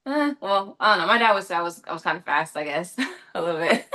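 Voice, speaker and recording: Bright, chatty young woman. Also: fairly low pitch, expressive, fairly quick. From Los Angeles. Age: young adult. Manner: chatty, many fillers and self-corrections. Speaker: actress and guest. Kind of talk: casual interview answers.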